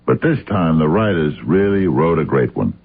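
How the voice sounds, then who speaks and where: An actor playing a cocky, nonchalant television detective star in a radio drama, with a husky voice. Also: male voice, extremely low pitch, sing-song, normal speed, heavily acted. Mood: casual, pleased. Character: cocky male TV detective star lost in his role. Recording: tinny old radio drama recording.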